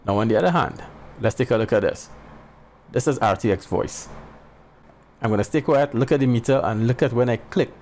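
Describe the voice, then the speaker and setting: A man in his thirties, hosting his channel, calmly explaining a microphone noise test to viewers on a headset mic in a small home room. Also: mid-range voice, brisk, pauses often. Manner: plain, explanatory, talks straight to viewers. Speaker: channel host. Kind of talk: casual tech demo talk. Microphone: headset boom mic. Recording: faint background birdsong noise. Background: faint birds chirping.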